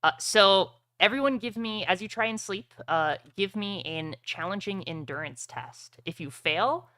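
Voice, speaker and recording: A woman's voice: mid-range voice, a little slow, pushed and bright, dry recording. A woman acting as game master for a streamed tabletop roleplaying game, talking casually with gamer slang.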